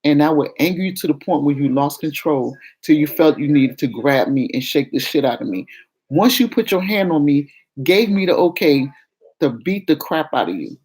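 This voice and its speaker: A woman with a fairly deep voice that carries well talks to her viewers. She is frank and confessional in a loose, casual vlog style, and she calls her audience "y'all". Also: lively intonation, moderate pace, a little mellow, husky, rough recording. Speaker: vlogger. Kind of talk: candid casual talk to viewers.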